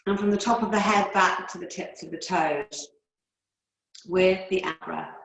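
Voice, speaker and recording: A woman yoga teacher leading guided relaxation and breathing in a calm, soothing, unhurried way with long pauses. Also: on the low side, vocal fry. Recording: roomy, echoey indoor sound.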